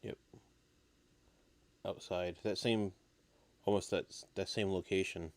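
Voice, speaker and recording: A man with a slightly raspy voice, getting over an illness, giving low-key, casual live baseball commentary as a stream host. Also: steady tone, takes it slowly, darkish tone.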